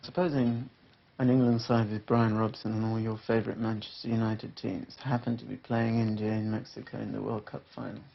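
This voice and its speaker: Male interviewer, probing and challenging, gravelly voice, muffled old documentary sound with faint noise. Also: fairly low pitch, level tone, fairly quick, hardly any pauses. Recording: dull 1980s documentary audio.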